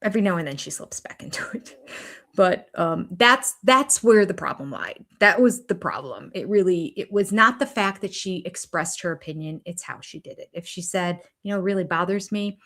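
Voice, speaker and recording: A woman's voice: fairly low pitch, lively intonation, moderate pace, fairly bright tone. An older woman hosting a casual watch-along stream, chatting about a reality show and reading viewer comments aloud. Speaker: YouTube host.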